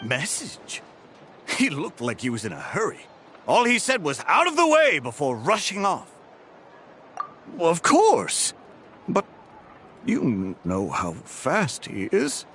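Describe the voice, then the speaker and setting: A high-voiced, flustered knight guard. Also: male voice, wildly swooping pitch, slow, long pauses, fairly bright tone, a little hiss or hum, heavily acted. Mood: flustered, urgent. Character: flustered young knight guard reporting urgently. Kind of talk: video game voice acting.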